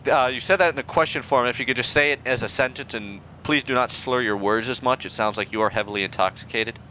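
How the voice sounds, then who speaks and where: A young man playing a pilot in a flight game, using formal radio phrasing in a deadpan, increasingly exasperated way over a headset. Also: mid-range voice, fast, dull audio, slight background noise. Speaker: pilot player in a flight sim. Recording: game audio in background.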